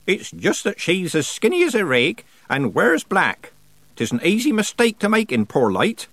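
Man acting a chirpy old rustic cottager, pitched up. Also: wildly swooping pitch, normal speed, fairly bright tone, no echo, exaggerated voice. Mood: sheepish. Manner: rustic dialect, cheerful. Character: cheerful, stubborn old bedridden cottager man. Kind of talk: audiobook character dialogue.

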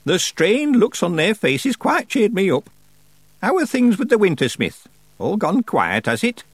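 A man's voice: high-pitched, huge pitch swings, slightly rough, dry recording, pitched above the performer's own voice, heavily acted. Man voicing a stern ancient witch. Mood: teasing. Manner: slow, drawn-out, lofty delivery. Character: ancient stern female witch, sly and dry-humored.

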